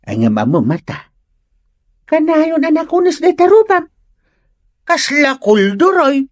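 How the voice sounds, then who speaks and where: A woman's voice: sits high, sing-song, unhurried. A woman playing a nosy, mocking village woman in an Ilocano comedy drama, teasing in a taunting sing-song.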